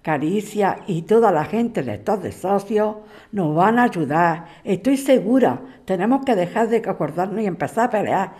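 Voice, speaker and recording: Mellow, resolute Spanish mother, played by a woman. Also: medium pitch, expressive, moderate pace, close to an everyday voice. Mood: determined. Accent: Spain. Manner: reassuring, insistent. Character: resolute, pleading mother, tired of running, calming daughter. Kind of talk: acted audio drama dialogue.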